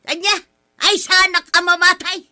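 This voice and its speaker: Actress as high-voiced, dramatic Ilocano village woman. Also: little pitch movement, moderate pace, bright, forceful tone, heavily acted. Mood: angry, demanding. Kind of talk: audio drama acting. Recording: music under the voice.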